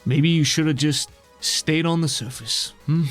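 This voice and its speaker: Casting: male game master as scornful, needling phantom in a game, pitched up from his own voice, faint music. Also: fairly even intonation, a little slow, close to an everyday voice. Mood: scornful, mocking. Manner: needling rhetorical questions. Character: mocking red-eyed phantom tormentor, scornful and needling.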